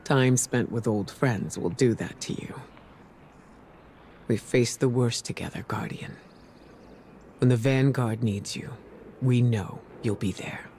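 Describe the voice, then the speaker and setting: An actor playing a weary veteran soldier in a game cutscene, speaking in a measured, reflective and earnest way. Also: male voice, medium pitch, a little mellow, slight background noise. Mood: warm resolve. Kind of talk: scripted game cutscene monologue.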